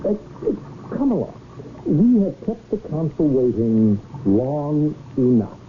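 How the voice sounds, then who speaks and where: An actor playing an earnest, somewhat self-important rich man in a 1970s radio drama, with a halting way of speaking. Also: a little high, big pitch swings, a little hiss or hum. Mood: distracted, reserved. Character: hesitant, earnest rich man about to be king. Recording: thin, tinny old radio recording.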